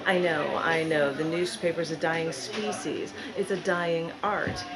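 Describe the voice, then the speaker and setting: A woman giving a live spoken-word poetry reading in a bookstore, phrasing dramatically and deliberately with drawn-out emphasis. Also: deep voice, steady tone, over a noisy crowd. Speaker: poet reading own work.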